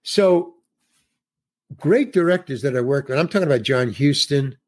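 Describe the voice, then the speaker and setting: A man's voice: medium pitch, lively intonation, moderate pace. A man, an older actor, telling a rambling showbiz anecdote in a casual interview, restarting sentences and acting out quotes. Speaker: guest actor.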